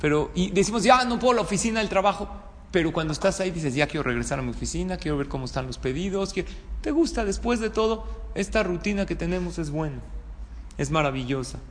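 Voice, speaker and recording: A man's voice: high voice, lively intonation, quick pace. A Mexican man, a rabbi, giving an informal religious talk in a conversational way, often putting questions to his listeners. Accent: Mexican Spanish. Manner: conversational, checking in with listeners through rhetorical questions. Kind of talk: informal religious sermon with anecdotes. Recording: reverberant hall, clear background room noise.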